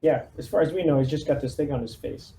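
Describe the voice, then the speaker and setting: A man's voice: a little high, fast, mellow. A man playing a tabletop roleplaying game, talking through his plans in a casual way. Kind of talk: casual in-character game talk. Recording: roomy echo, faint background noise.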